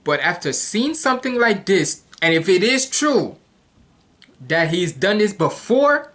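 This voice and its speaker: A man's voice: high-pitched, big pitch swings, unhurried, a bit bright. Rambling man from Jamaica reacting casually. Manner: rambling, many restarts, casual slang. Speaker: reaction video host.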